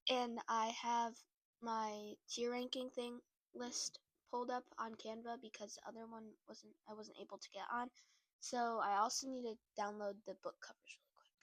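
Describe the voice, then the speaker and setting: A woman's voice: fairly high pitch, no echo. A woman chatting casually to her viewers while a little sick, rambling with lots of fillers and restarts. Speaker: book content creator. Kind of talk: casual chat to camera.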